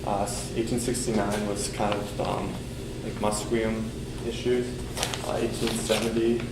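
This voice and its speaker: A man's voice: fairly low pitch, little pitch movement, unhurried. A young male student giving a casual class presentation, talking loosely with lots of ums and likes. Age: young adult. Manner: loose, filler-heavy talk with many ums and likes. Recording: echoey room with air-conditioning hum.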